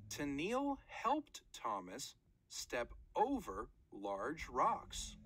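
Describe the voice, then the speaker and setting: A woman's voice: sits low, fairly bright tone, breathy edge. A woman reading a children's nonfiction book aloud in short, careful phrases, like a read-aloud narrator.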